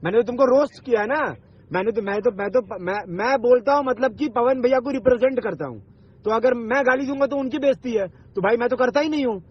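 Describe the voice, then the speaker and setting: Guy with a really high rough voice arguing on a muffled phone recording, pushy, keeps repeating himself. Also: fast. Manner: confrontational, swearing, insistent, repeats himself. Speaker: online video maker. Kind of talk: heated argument on a phone call. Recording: dull lo-fi recorded phone call.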